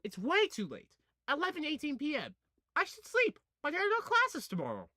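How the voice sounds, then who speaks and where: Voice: high voice, wildly swooping pitch, normal speed, pushed and bright, no echo, studio quality. A gaming video host reading a visual novel aloud and adding slangy, casual commentary, stumbling over words now and then. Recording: music playing behind the voice. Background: music.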